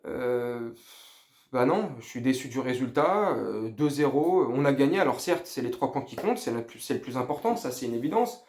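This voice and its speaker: A man's voice: mid-range voice, expressive, normal speed, a little mellow. A middle-aged man talking casually and slangily to viewers as a football fan, rambling and hesitating, sounding underwhelmed. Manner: underwhelmed, lacking enthusiasm. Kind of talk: casual post-match debrief to viewers.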